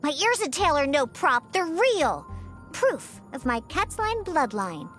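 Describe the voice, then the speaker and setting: A woman's voice: sits high, big pitch swings, unhurried, fairly bright tone, soft background music, heavily acted. A woman voicing a proud, grumpy young cat-girl bartender in a video game, huffy and stammering when flustered. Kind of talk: video game character voice lines.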